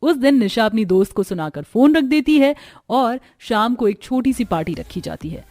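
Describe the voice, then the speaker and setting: A woman's voice: on the high side, expressive, normal speed, a little mellow, no echo, clean studio sound. A woman narrating a scripted cartoon story.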